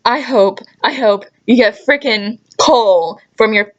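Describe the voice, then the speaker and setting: A young adult woman telling casual, chatty stories in an animated, exasperated way, with sarcastic asides and mild swearing. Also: a little high, takes it slowly, muffled recording. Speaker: storytelling vlogger. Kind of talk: casual anecdote vlog, chatty.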